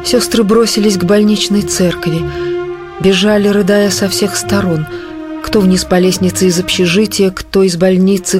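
An actress as a slow, solemn female witness. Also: medium pitch, natural voice. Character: former convent pupil solemnly recalling a sorrowful farewell. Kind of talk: dramatic memoir reading in an audio play.

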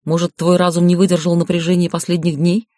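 A man voicing a calm, commanding yet tender raven lord in an audiobook, speaking in a hushed, soothing, measured way. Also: high voice, no echo, studio quality, natural voice. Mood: puzzled.

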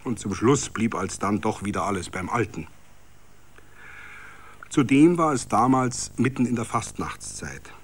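A man's voice: mid-range voice, breathy edge. A man narrating a literary story for radio.

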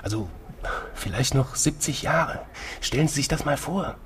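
Actor as weary surgeon confiding over background noise. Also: male voice, mid-range voice, animated, moderate pace, fairly bright tone, natural voice. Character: weary, confiding male trauma surgeon held hostage. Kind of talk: naturalistic radio drama. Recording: noisy ambience behind close voice.

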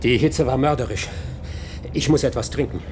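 An actor playing a weary, haunted fugitive scientist in a German science-fiction radio drama, speaking gravely and formally. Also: male voice, mid-range voice, normal speed, background noise, lightly acted. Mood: exhausted.

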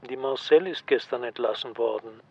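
An actor playing a curt, commanding senior official in a radio drama, creaky and muffled as if on a telephone. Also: male voice, mid-range voice, moderate pace. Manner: curt, ordering. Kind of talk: German radio crime drama dialogue.

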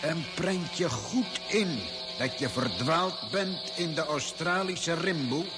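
An actor playing a bossy, sarcastic outback camper in an old radio drama. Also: male voice, on the high side, animated, slow pace, loud music behind the voice. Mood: firm, dismissive. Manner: sarcastic, commanding. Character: gruff, sardonic male outback camper, bossy and dismissive. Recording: very noisy old radio recording.

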